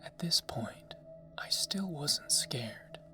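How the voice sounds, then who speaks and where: A narrator reading a scary story in a hushed ASMR whisper, slow and deliberate. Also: medium pitch, animated. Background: background music.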